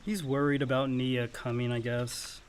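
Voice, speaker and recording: A man's voice: medium pitch, little pitch movement, moderate pace, a little hiss or hum, close to an everyday voice. A male actor playing a teasing, easygoing grown son and loyal brother in a naturalistic radio play. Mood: reassuring. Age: young adult. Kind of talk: naturalistic radio drama dialogue.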